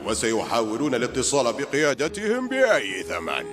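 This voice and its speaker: Cold bossy villain bird commander, lots of up and down in the voice. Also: male voice, mid-range voice, wildly swooping pitch, a little fast, a bit bright, soft background music. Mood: commanding. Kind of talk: cartoon dub acting.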